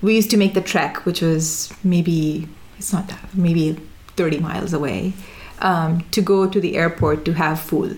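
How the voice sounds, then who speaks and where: A woman's voice: lowish voice, fairly even intonation, measured pace, few pauses, darkish tone. A woman from Sudan, raised in Dubai, telling stories casually in an interview, with many fillers and restarts. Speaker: interview guest. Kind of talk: casual conversational storytelling.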